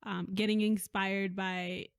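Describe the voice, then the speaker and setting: A young woman in casual, relaxed podcast chat, laughing lightly now and then. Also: medium pitch, takes it slowly, clear, resonant tone, no echo, clean studio sound. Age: young adult.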